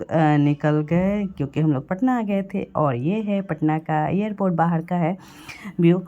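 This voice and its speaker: A young woman from Bihar with a sore throat, chatting through a travel vlog voice-over and clearing her throat and coughing along the way. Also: fairly low pitch, warm tone, dull audio. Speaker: vlogger.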